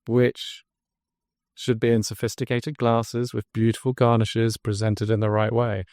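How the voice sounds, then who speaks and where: An English man, guest on a podcast interview, telling his story as a drinks brand founder in an earnest pitch. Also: monotone, measured pace, a little mellow, no echo, clean studio sound. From England.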